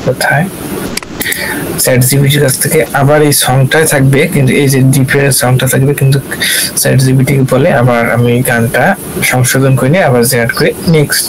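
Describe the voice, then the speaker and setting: A man giving an informal software tutorial, thinking aloud and often checking in with questions. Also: medium pitch, a little fast. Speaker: tutorial instructor. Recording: clear steady background hiss.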